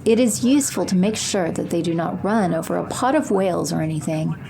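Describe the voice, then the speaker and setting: A woman's voice: lowish voice, fairly quick, vocal fry, mellow, a little hiss or hum. A woman reading scripted third-person narration for an audio drama.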